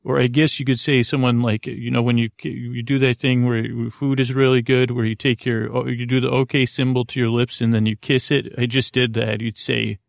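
A man hosting a sleep podcast in a creaky, lulling voice, meandering haltingly through tangents and interrupting himself as he goes. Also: mid-range voice, level tone, no room sound, clean studio sound. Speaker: podcast host. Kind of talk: rambling bedtime sleep podcast monologue.

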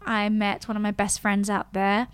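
A woman's voice: medium pitch, completely flat delivery, moderate pace, clean tone, no echo. A young woman chatting casually and telling personal stories in a playful, candid way. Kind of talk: casual chat, personal anecdotes.

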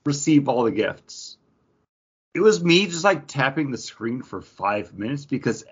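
A man co-hosting a podcast in casual chat, rambling and animated, acting out tapping through game menus. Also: medium pitch, measured pace, slightly rough, muffled recording. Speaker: podcast co-host.

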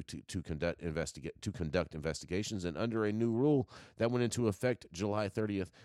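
A man's voice: low voice, dry recording, studio quality. A man co-hosting a news show, reading articles aloud with stumbles and restarts, then chatting over a remote call.

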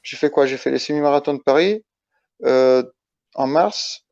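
A man from Italy speaking as a guest in a casual interview, halting and restarting his phrases as he explains. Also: mid-range voice, creaky, a little mellow. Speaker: interview guest, ultra-trail runner. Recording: dull lo-fi, roomy echo.

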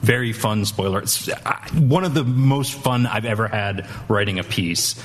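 A man speaking casually as a guest panelist, halting and thoughtful in delivery. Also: fairly low pitch, a little hiss or hum.

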